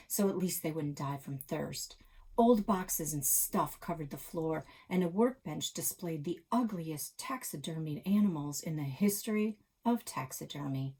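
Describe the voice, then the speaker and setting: Woman reading kids story aloud, lowish husky voice, real suspenseful narration. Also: a little mellow. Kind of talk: dramatic children's story narration.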